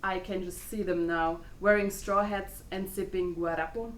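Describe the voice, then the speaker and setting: A woman acting a snobbish, fiery pregnant Cuban wife in a dramatic play reading, expressive, with Spanish exclamations mixed in. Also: on the low side, moderate pace, natural voice. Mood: snobbish, sarcastic. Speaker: student actor. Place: indoor room, live play reading. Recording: echoey room with faint background noise.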